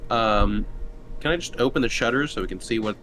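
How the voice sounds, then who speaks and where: A man's voice: medium pitch, a little fast, bright, forceful tone, a little hiss or hum, low-quality recording. A man chatting casually as a player in a tabletop roleplaying game.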